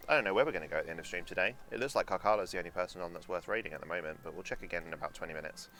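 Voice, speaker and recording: A man's voice: low-pitched, racing, bright, forceful tone. A young man streaming a theme park game at home, chatting with his chat in a sarcastic, rambling way and swearing now and then. German is his first language. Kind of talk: casual live-stream commentary.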